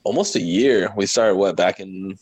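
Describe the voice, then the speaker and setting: A young man with an American accent and a deep voice talks casually as a guest. He wanders through his sentences in a self-deprecating way. The sound is dry, with little room around it. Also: moderate pace, darkish tone, breathy edge, dry recording. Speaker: podcast guest, podcast founder. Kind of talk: casual chat.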